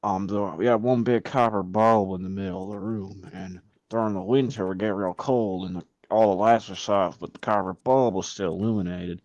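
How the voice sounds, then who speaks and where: A young man on a podcast, improvising deadpan comic anecdotes in a casual, understated, halting way. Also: fairly low pitch, no room sound, highs cut off. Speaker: podcast member. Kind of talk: improvised deadpan comedy chat.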